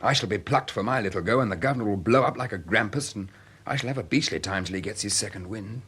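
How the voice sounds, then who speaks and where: An actor playing a good-hearted, plain-spoken young student with an upper-class English accent in a period radio drama. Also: male voice, fairly low pitch, quick pace, a bit bright, slightly rough. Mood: rueful, anxious. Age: young adult.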